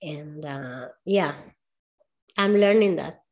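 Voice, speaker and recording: A woman with a Spanish accent speaks English as a second language in a relaxed interview. She talks about her work as a movement coach in an earnest, thoughtful way, often stopping to find the right word. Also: sits low, slow. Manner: searching for words, thoughtful, earnest. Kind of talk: casual interview talk.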